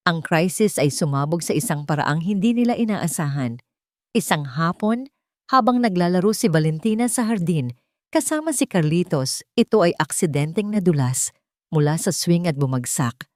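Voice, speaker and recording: A woman narrates a scripted drama. Her voice sits low. The sound is dry, with hardly any room around it. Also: lively intonation, moderate pace, darkish tone, dry recording.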